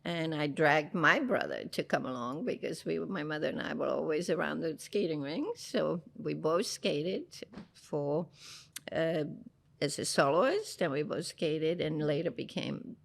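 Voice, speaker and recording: A middle-aged woman from the Republic, chatting as a guest in a casual interview, reminiscing with frequent restarts and soft affirming murmurs. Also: deep voice, expressive, unhurried, vocal fry. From Czech Republic. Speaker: guest, handbag designer.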